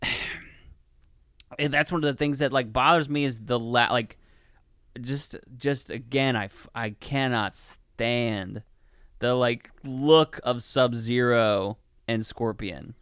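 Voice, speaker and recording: A man's voice: mid-range voice, fairly bright tone, smooth, dry recording. Man chatting casually, very clean dry sound. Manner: halting, repetitive, opinionated, swears casually. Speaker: podcast co-host. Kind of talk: casual movie review chat.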